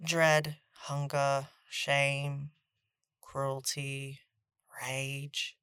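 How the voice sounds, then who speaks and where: A woman's voice: extremely low pitch, little pitch movement, drawn-out words, fairly bright tone, clean tone, dry recording, heavily acted. A woman voicing a practical, world-weary youngster, a terse and wry boy in a fantasy audio drama. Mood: grave warning. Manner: terse, matter-of-fact, dry.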